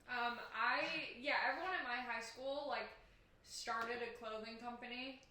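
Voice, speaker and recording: A woman's voice: fairly high pitch, a little slow, pushed and bright, slight background noise. A young woman guest on a podcast, rambling casually with lots of "like" and upbeat asides. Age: young adult. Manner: rambling, filler-heavy, upbeat. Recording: very echoey room.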